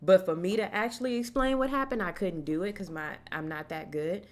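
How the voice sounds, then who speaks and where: Low-voiced young woman telling a story. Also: fairly quick. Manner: animated, acts out quoted voices, casual slang. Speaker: horror vlogger. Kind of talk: casual storytelling to camera.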